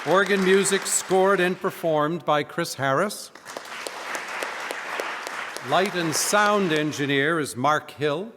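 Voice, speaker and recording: A man's voice: a little high, takes it slowly, long pauses. A man announcing closing credits for a live radio drama, reading to an audience in a hall. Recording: reverberant church hall, noise, audience crowd.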